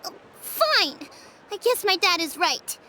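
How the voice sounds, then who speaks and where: High-pitched, stubborn little kid. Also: female voice, sing-song, takes it slowly, bright, forceful tone, a little hiss or hum, exaggerated voice. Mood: stubborn, upset. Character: young child villager, stubborn, blunt, easily upset. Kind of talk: video game voice acting.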